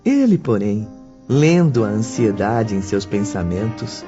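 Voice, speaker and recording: A man's voice: lowish voice, lively intonation, unhurried. A man narrating a spiritual audio drama as a solemn storyteller, with a Brazilian accent. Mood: composed. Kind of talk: scripted narration. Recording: muffled, music bed behind.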